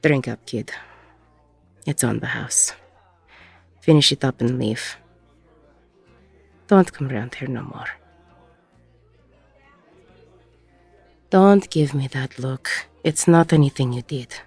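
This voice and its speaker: A woman playing a weary, protective middle-aged ex-con bar owner in an intimate audio roleplay, with a husky, gravelly voice. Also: extremely low pitch, unhurried, long pauses, a little mellow, no room sound, faint music behind, full character voice. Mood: stern, protective.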